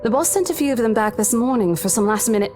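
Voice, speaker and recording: Casting: a woman as a reassuring saleswoman colleague, fairly high voice, game music behind her. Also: expressive, quick pace, dark tone, lightly acted. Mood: relieved. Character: caring older coworker, saleswoman, worried. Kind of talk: visual novel voice acting.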